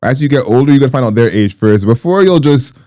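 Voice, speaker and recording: A man in his late twenties co-hosting a podcast, relaxed and quick to laugh in casual chat. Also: mid-range voice, dry recording, studio quality. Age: 20s. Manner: laid-back, laughs often. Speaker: podcast co-host.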